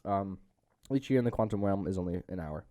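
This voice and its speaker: A man's voice: low-pitched, expressive, fairly quick, mellow, dry recording. A young man hosting a casual film-review chat, informal and jokey, switching between summarising and commenting. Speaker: podcast host.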